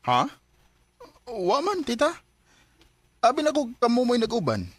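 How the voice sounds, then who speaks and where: A man's voice: very high voice, wildly swooping pitch, measured pace, noisy background, exaggerated voice. A man with a Cebuano Filipino accent playing an earnest, trusting young boyfriend in a Bisaya radio drama. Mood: worried.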